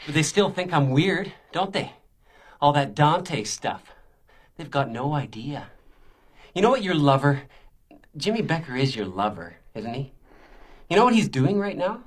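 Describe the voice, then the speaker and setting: A man's voice: on the high side, very animated, unhurried, pauses often. Taunting, menacing male stalker. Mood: bitter, insinuating. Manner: taunting, insinuating, mock-polite. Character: menacing, self-pitying male stalker, a spurned oddball. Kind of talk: radio crime drama acting.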